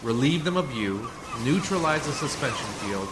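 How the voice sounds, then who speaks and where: A man playing a calm, assured undercover rescuer in a sci-fi radio play, speaking in a measured, explanatory way. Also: medium pitch, natural voice. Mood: reassuring, matter-of-fact. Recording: noisy community radio drama recording.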